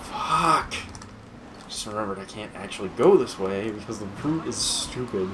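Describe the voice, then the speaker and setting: A male gamer with a lowish voice gives casual commentary as he plays. He swears heavily and jokes sarcastically, with clear game noise behind him. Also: lively intonation, moderate pace. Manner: heavy swearing, sarcastic joking. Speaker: let's play co-host, gamer.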